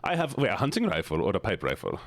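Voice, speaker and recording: Low-voiced man streaming, animated and teasing. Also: fast, crowd noise. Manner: teasing, repeats phrases, breaks into sing-song. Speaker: game streamer. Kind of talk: playful live gaming chatter with stream audience.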